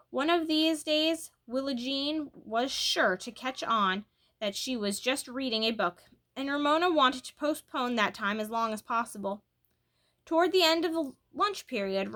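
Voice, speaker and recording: A woman reads a children's storybook aloud. Her voice is bright and sits a little high. She delivers the narration expressively, with animated emphasis. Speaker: storybook reader.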